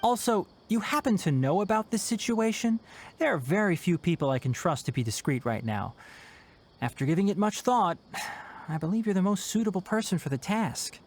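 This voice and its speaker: A young man playing a composed, caring researcher and mentor in a video game, speaking in a measured, thoughtful way. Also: high voice, lively intonation, a little hiss or hum. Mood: discreet, thoughtful. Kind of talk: scripted video game dialogue. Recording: music behind the voice.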